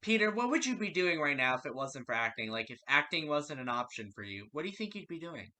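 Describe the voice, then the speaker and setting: Male interview host. Strikingly bright, forward voice, a touch high. Courteous, formal delivery. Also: very animated, fast talker. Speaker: radio show host and interviewer. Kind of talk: prepared interview questions.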